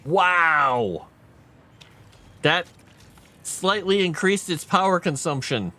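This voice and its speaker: A man's voice: a little high, expressive, slow, bright, forceful tone. A tired man streaming a game late at night, thinking aloud and chatting with viewers. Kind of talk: casual gameplay live stream commentary.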